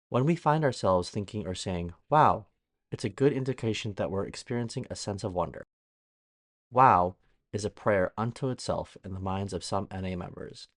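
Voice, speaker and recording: A man's voice: fairly low pitch, no room sound, clean studio sound. A man reading an inspirational daily reflection aloud as a guest reader.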